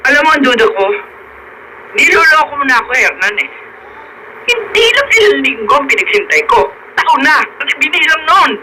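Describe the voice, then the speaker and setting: An actor voicing an aggrieved accomplice in a radio drama, emphatic and dramatic, with a Filipino accent. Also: male voice, very high-pitched, sing-song, normal speed, pauses often, pushed and bright, heavily acted. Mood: bitter, demanding. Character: aggrieved accomplice demanding a share, then confessing. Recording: noisy radio drama broadcast.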